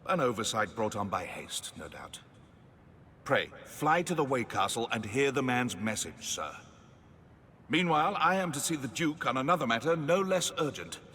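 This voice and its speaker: A man's voice: mid-range voice, sing-song, moderate pace, sharp tone. A man playing a dutiful court official in a fantasy game, speaking in an officious, formal, archaic manner. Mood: urgent formal. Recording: long echoing hall, faint noise.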